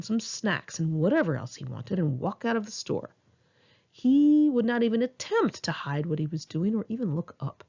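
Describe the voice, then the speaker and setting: A woman's voice: mid-range voice, a little mellow, highs cut off. An American woman reading her own memoir aloud in a candid, conversational storytelling manner. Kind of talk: first-person audiobook narration.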